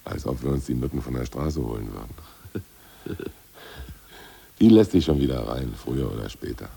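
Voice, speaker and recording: A cynical gravelly old-school party boss, low and rough, radio play. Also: male voice, animated, normal speed, mellow, full character voice. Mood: confident. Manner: blunt, coarse, self-assured bluster. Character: ousted party boss, gruff cynical man. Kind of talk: 1980s radio drama acting.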